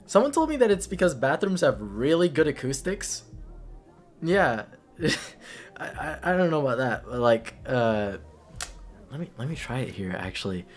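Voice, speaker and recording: Man acting a rambling young best friend, lively intonation. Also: medium pitch, natural voice. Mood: excited, rambling. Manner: halting, rambling, breathy chuckles. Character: caring, rambling male best friend at a party. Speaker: roleplay audio performer. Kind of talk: ASMR roleplay, casual intimate dialogue.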